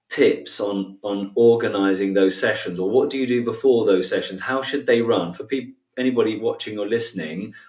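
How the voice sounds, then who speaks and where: Low-voiced host in an echoey room. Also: male voice, a little mellow. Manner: halting, repeats words, many you knows. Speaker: podcast host. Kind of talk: interview questions. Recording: roomy, distant from the mic.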